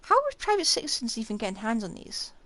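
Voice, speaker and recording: A woman's voice: on the high side, wildly swooping pitch, quick pace, a bit bright, dry recording. A woman live streamer giving casual commentary while playing a game. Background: faint game music.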